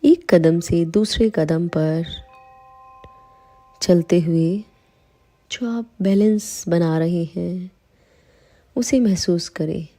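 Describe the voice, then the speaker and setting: A woman guides a meditation in a slightly low, soft-edged and mellow voice. She speaks very slowly and soothingly, leaving long pauses between her calm instructions. Music plays behind her. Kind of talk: slow guided meditation in Hindi.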